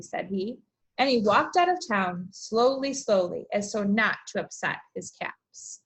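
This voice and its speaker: A woman teacher reading aloud and explaining things to young children, with expressive, deliberate emphasis. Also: on the high side, lo-fi sound. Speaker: elementary school teacher.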